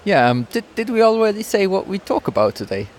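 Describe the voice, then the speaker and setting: A man's voice: high-pitched, sing-song, fast talker, slight background noise. A man from Switzerland with a Swiss accent, co-hosting a casual podcast and chatting while he sometimes searches for English words. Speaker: podcast co-host. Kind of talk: casual chat. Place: outdoors in a busy town square.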